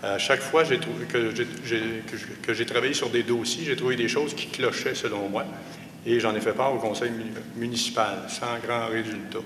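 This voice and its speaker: A man's voice: mid-range voice, fairly even intonation, fairly quick. Middle-aged man in a roomy, noisy hall. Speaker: municipal candidate. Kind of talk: debate opening statement. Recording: roomy hall with clear background noise.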